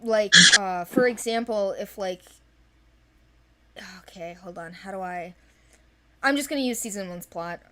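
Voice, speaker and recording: Woman, mid-pitched voice, casual chat, informal, rambling, enthusiastic. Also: measured pace, pauses often. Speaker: animation project team member. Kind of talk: casual chat discussing characters.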